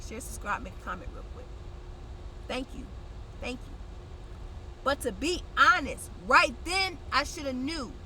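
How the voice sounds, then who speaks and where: A woman's voice: sits high, pushed and bright, slight background noise, low-quality recording. A young woman vlogging in a blunt, slangy, conversational way, sounding congested and nasal. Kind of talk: casual direct-to-camera chat.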